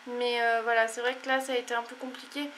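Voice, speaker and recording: Young female vlogger, congested and tired, meandering delivery, flat intonation. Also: fairly high pitch, fast talker, slight background noise. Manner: rambling, many fillers and apologies. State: stuffy, congested. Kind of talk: casual chat to camera.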